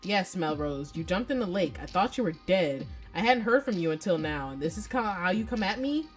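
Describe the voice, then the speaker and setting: A woman's voice: lowish voice, lively intonation, a little fast, soft background music, close to an everyday voice. Woman reading as an exasperated, scolding ex-boyfriend. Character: exasperated, blunt, defensive man, the ex-boyfriend. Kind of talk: visual novel read aloud.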